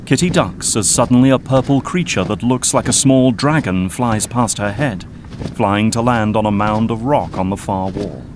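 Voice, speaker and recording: Guy narrating the action, kinda low voice, light hiss behind. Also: moderate pace. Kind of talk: scripted narration.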